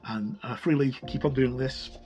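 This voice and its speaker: A man giving a casual, informal review as he leafs through a book, halting as he goes and muttering the odd aside. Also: medium pitch, fairly even intonation, a little mellow. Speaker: tabletop game book reviewer. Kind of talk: casual flip-through review with read-out passages.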